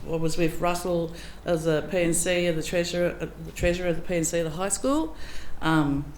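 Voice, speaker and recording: A woman's voice: lowish voice, fairly quick, darkish tone, a little hiss or hum, rough recording. Chatty woman at a public forum. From Australia. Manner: plain, chatty, with frequent ums. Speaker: council candidate. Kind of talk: candidate speech at a public forum.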